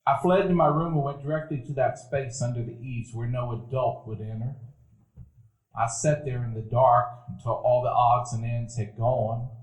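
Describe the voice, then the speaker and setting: An actor narrating a radio play as a grown man recalling his boyhood, in a measured, reflective storytelling manner. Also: medium pitch, steady tone, darkish tone, lightly acted. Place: dinner theater stage before a live audience. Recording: echoey live stage recording.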